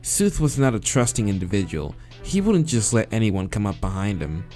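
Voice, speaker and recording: Low-voiced man reading a game aloud. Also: normal speed, faint music behind. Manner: hesitant muttering with swearing, then steady reading. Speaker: gamer reading a visual novel aloud. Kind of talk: let's play, reading dialogue and reacting.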